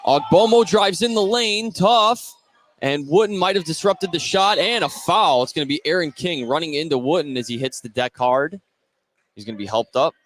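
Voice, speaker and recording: Energetic man calling live basketball. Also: on the high side, normal speed. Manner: energetic, rising on scoring plays. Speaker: play-by-play announcer. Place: indoor gym at basketball tournament. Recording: noisy gym ambience behind voice. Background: gym ambience.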